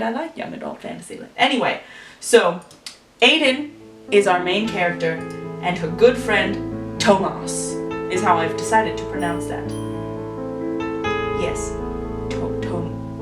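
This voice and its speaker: A woman's voice: on the low side, expressive, unhurried, pauses often. A woman casually making up and telling a story, chatty and thinking aloud. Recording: roomy echo from a distance, faint music.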